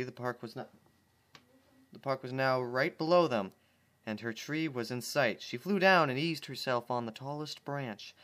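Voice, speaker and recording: A man's voice: medium pitch, fairly bright tone. A man reading fan fiction aloud at home, breaking off for sarcastic, exasperated commentary. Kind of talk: dramatic reading with comic asides.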